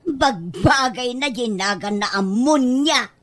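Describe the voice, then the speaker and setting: A woman's voice: a little high, animated, slow, fairly bright tone, no room sound. A woman playing a scornful middle-aged mother in an audio drama, complaining about a neighbor with open disdain. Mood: bitter, disapproving.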